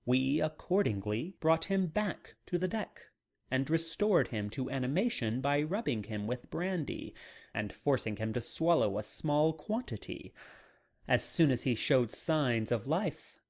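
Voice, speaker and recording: Literary narrator: measured dramatic reading, very animated intonation, slow tempo. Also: mid-range voice. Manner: measured, deliberate phrasing. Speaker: audiobook narrator.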